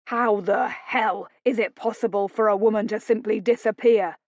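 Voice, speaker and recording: A woman's voice: medium pitch, steady tone, moderate pace, dry recording, close to an everyday voice. A woman voicing an angry, commanding nobleman for an audiobook, raging and barking orders. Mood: furious. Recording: dull lo-fi audio.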